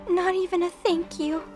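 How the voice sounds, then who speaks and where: Woman as a very high-voiced young winged gunner. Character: young female winged gunner, wounded pride, stern, sad. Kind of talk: audio drama acting. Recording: music bed behind voice.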